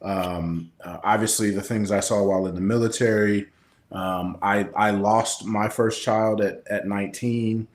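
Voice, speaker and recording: A man's voice: fairly low pitch, fairly even intonation, unhurried. An American man, a coach being interviewed, explaining himself conversationally and in a straightforward way. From Kansas City, Missouri, United States. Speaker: guest, personal development coach. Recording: roomy, echoing sound.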